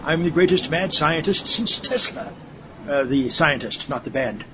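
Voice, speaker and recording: A man's voice: on the high side, animated, moderate pace, bright, forceful tone. A man playing a vain, pompous mad scientist in a comic audio drama, theatrical and sarcastic in manner. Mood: scornful boasting. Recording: dull lo-fi recording, faint noise.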